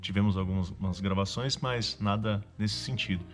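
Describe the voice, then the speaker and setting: A Brazilian man with a lowish voice talks casually in an interview. He comes across as modest and informal, an amateur reflecting on voice work. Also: moderate pace, faint music behind. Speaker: amateur voice actor.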